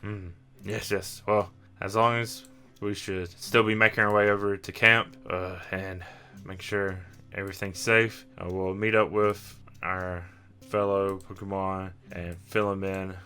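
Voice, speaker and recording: A man's voice: low-pitched, little pitch movement, measured pace, pushed and bright, faint music behind. A man narrating an improvised tabletop game as game master, descriptive and feeling out his phrasing as he goes.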